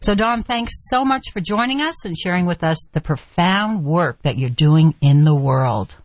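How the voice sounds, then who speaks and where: A woman hosting an interview, asking her guest measured, unhurried questions. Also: lowish voice, expressive, dry recording. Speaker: interview host.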